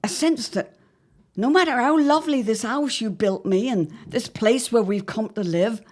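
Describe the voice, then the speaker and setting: A woman's voice: fairly high pitch, normal speed, darkish tone, lightly acted. A woman playing an anxious middle-aged wife of the 1910s in an audio drama, speaking in a formal, old-fashioned, earnest way. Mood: uneasy, earnest. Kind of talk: period audio drama acting.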